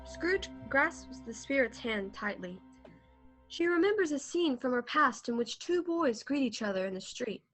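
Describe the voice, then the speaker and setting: A woman playing the measured storyteller, reading scripted narration for a radio play recorded over a video call. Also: sits high, very animated, fairly bright tone, soft background music, full character voice, rough recording.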